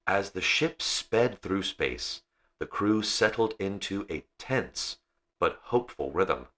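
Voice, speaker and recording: A man's voice: low voice, fairly bright tone. A man narrating a science fiction bedtime story as an audiobook reader.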